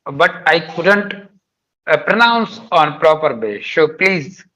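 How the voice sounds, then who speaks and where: A man's voice: on the high side, sing-song, takes it slowly, pushed and bright, rough recording. A man with an Indian accent practicing conversational English, speaking hesitantly and repeating his words as he goes. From Madhya Pradesh, India. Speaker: guest, police officer learning English.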